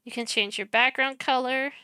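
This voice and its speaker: A young woman streamer, soft-spoken and chatty, walking viewers through her streaming setup on a headset mic while muttering as she clicks around. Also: sits high, steady tone, normal speed, clean tone, no echo, clean studio sound. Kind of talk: casual screen-share tutorial.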